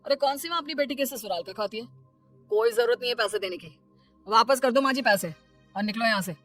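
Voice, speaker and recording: A woman's voice: a little high, brisk, faint music behind, natural voice. A woman voicing a bossy, exasperated, short-tempered young husband in a cartoon family story. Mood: harsh, rude.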